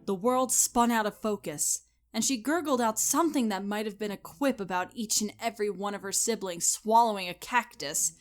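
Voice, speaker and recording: A woman giving a scripted, expressive and deliberate dramatic narration of a story. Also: medium pitch.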